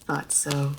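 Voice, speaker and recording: An immortal lady vampire played by a woman presides over her manor in a slightly low voice. She speaks to the listener with measured, old-fashioned formality. Her poise has a teasing edge, in the style of a roleplay audio story. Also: darkish tone, a little hiss or hum, exaggerated voice. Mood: gracious, gently teasing.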